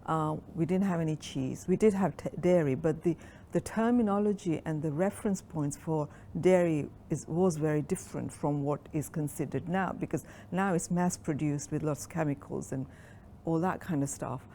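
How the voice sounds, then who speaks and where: Low, gravelly-voiced woman in her sixties. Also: warm tone, slight background noise. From Kenya. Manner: reflective, unhurried storytelling with frequent ums. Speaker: interviewee. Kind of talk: oral history interview.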